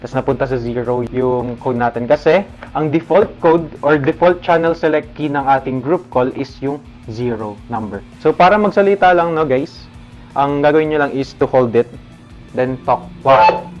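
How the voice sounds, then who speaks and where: Male Filipino product presenter, casual explainer delivery, medium-high pitch, lo-fi recording with music underneath. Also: normal speed. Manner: instructional, addresses viewers informally as guys. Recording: dull lo-fi sound under music. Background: background music.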